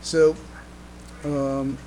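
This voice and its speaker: A man co-hosting a casual fan podcast, talking haltingly and often repeating and restarting his words. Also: mid-range voice, flat delivery, warm tone, rough recording. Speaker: podcast co-host. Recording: clear background noise, mains hum.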